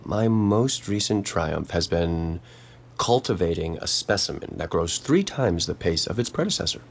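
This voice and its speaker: Shy lonely botanist, low husky voice, stammers, pitch jumps around, audio drama. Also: lively intonation, full character voice. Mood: awkward, earnest. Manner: halting, stammering, formal wording. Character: awkward, lonely botanist, hesitant and earnest. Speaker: voice actor. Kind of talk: naturalistic audio drama dialogue.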